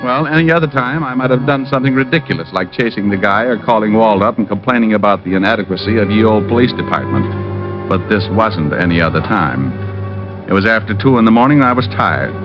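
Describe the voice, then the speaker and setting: An American actor playing a wisecracking, world-weary private detective who also narrates a vintage radio drama, flippant and sardonic. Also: male voice, fairly low pitch, lively intonation, fast talker, music in the background, lightly acted. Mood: wry, weary narrating. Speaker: lead and narrator. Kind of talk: 1940s-50s radio detective acting and narration. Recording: muffled old radio recording.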